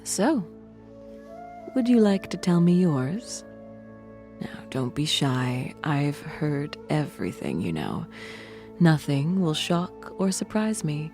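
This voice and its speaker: A woman playing a sultry, fanged fiend-blooded courtesan in an audio drama, flirtatious, coy and teasing, with breathy whispered phrase endings. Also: deep voice, warm tone, full character voice. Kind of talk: audio drama character acting.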